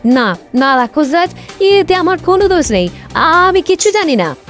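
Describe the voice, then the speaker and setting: Lady doing a young cartoon princess, high swoopy voice, fretful n theatrical. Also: sing-song, moderate pace, a bit bright, soft background music, exaggerated voice. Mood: pleading. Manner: theatrical, plaintive. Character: young fretful, secretive fairy-tale princess. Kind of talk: Bengali fairy-tale cartoon dub.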